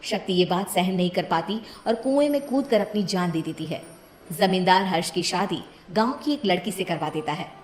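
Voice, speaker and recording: A woman's voice: fairly low pitch, roomy sound, a little hiss or hum. A woman reading a scripted cartoon moral story as its narrator.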